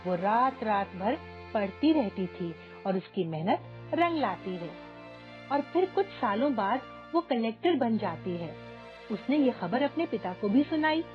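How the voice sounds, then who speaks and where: A woman's voice: fairly high pitch, lively intonation, a little slow. A woman storyteller reading a scripted moral tale as narration. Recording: dull lo-fi audio, music behind.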